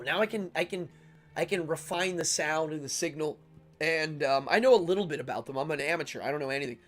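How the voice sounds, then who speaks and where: A middle-aged American man chatting casually as host of a live stream, rambling and interrupting himself with excitable exclamations. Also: on the high side. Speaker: livestream show host.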